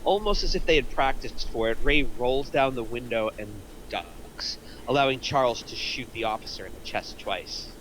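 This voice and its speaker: A man's voice: mid-range voice, normal speed, hardly any pauses, fairly bright tone, a little hiss or hum, poor audio. A man reading out screenplay stage directions as a narrator in a scripted table read.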